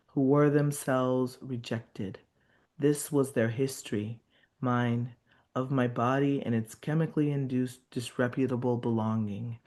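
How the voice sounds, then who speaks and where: Man, poet, measured incantatory reading, video call audio. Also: mid-range voice, mellow. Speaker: poet reading from his book, guest. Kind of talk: poetry reading.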